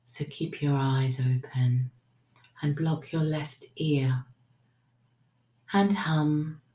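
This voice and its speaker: A woman leading a guided breathing meditation, giving soothing, unhurried instructions that sometimes trail into a whisper. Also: low-pitched, fairly even intonation, mellow. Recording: roomy, echoing sound.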